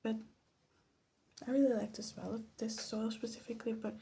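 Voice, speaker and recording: A woman giving casual ASMR commentary in a soft, breathy half-whisper, musing to herself without hurry. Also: fairly high pitch, fairly even intonation, moderate pace. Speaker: vlog host. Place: her bedroom. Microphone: phone. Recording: faint background noise, phone microphone.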